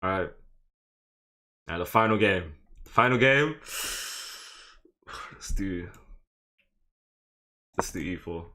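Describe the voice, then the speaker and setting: A man streaming live chess, talking through his moves in a casual, slangy, excitable way and chatting with viewers. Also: sits low, slow pace, pauses often. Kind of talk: casual live game commentary.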